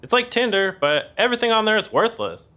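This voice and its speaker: Bright, high-pitched oddball young guy. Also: sing-song, fairly quick, exaggerated voice. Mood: earnest. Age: young adult. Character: oddball, literal-minded twenty-one-year-old male roommate. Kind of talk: podcast sitcom acting.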